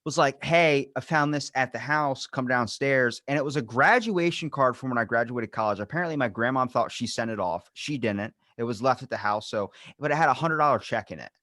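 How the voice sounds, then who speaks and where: A man's voice: mid-range voice, a little fast, few pauses, bright, forceful tone, no room sound, clean studio sound. A man hosting a podcast in casual chat, rambling from thought to thought and swearing freely. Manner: rambling, profane, uses quoted asides. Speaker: podcast host.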